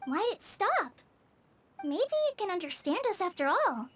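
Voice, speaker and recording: A woman's voice: extremely high pitch, sing-song, normal speed. A woman voicing a sweet, innocent little girl in a video game, with a childlike, earnest, wondering manner. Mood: curious. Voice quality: childlike, bright-eyed. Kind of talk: video game cutscene acting. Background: game music.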